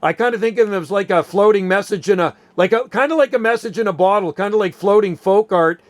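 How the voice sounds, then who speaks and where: A man's voice: high voice, fast talker, no echo. A man chatting casually as an amateur radio operator, easygoing and rambling as he tells stories. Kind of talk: casual radio chat, storytelling.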